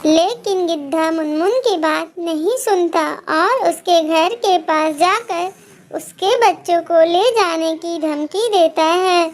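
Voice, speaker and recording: A woman narrating a scripted children's animated moral story. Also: very high-pitched, slow.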